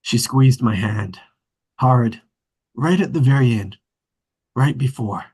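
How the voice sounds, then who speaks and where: An actor playing an earnest, sentimental brother and family man with a husky voice in a drama table read. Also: mid-range voice, slow, darkish tone. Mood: reflective. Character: earnest, sentimental grown brother, husband and father.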